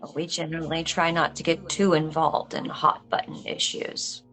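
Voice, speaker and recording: An actress reading an inquisitive, reserved but friendly police officer in a film script, casual and understated. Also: female voice, sits low, little pitch movement, normal speed, fairly bright tone. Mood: reserved, polite. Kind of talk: table read of a film script.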